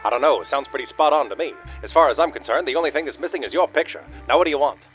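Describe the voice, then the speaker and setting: A man's voice: a little high, racing, fairly bright tone, natural voice. A man playing a cynical, boneheaded private detective in a comic noir radio play, blustering in wordy tough-guy slang. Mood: irritated. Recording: dull radio-style sound with faint music.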